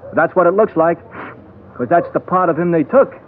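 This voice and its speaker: Man acting a high-voiced, brash waterfront fellow. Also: fairly quick, close to an everyday voice. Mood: blunt, grim. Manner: blunt, offhand. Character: blunt, brash male waterfront go-between. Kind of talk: 1940s radio drama acting. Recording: tinny, faintly noisy old radio broadcast.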